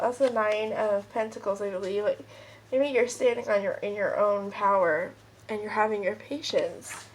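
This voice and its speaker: A woman's voice: mid-range voice, a little hiss or hum, low-quality recording. A woman with a stuffed-up nose giving a casual, rambling pick-a-card tarot reading.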